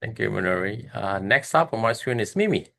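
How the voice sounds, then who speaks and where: A man moderates a panel on a video call and hands over to each speaker in turn. His voice is fairly low. He hesitates often and fills the pauses. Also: expressive, creaky, pushed and bright. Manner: hesitant, full of fillers.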